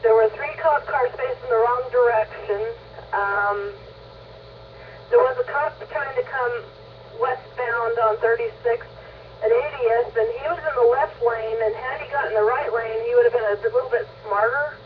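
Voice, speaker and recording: A woman with a mid-pitched voice rambles chattily over amateur radio. Her transmission is thin and phone-like, sounding distant, with some scratchy noise. The talk is casual and unscripted. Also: normal speed. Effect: two-way radio.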